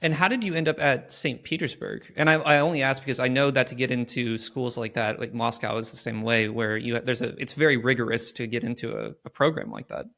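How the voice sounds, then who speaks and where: A man's voice: medium pitch, fairly quick, vocal fry, few pauses, fairly bright tone. A young man hosts a podcast interview in an ordinary mid-range voice. He asks long, earnest questions and sometimes stops to pick his words with a filler. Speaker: podcast host.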